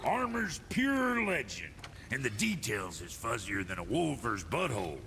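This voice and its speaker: A man voicing a gruff, crude, foul-mouthed dwarf blacksmith in a video game, with a blunt, grumbling, sarcastic manner. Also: medium pitch, big pitch swings, measured pace, bright, forceful tone, slight background noise, full character voice. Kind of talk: video game character acting.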